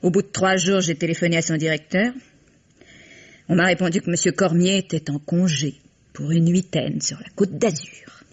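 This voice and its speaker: An actress playing a brisk, sardonic masseuse with teasing, world-weary irony in an old French radio drama style. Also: female voice, on the low side, fairly even intonation, breathy edge. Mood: bitter, resigned. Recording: dull, hissy vintage radio recording.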